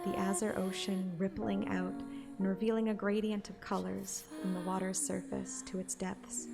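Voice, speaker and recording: Woman reading romance fantasy story like a homemade audiobook, bit of vocal fry, music behind. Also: mid-range voice, fairly even intonation, moderate pace. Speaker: fan audiobook narrator and podcast host. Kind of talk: reading a romantic fantasy game story aloud, narration with casual asides.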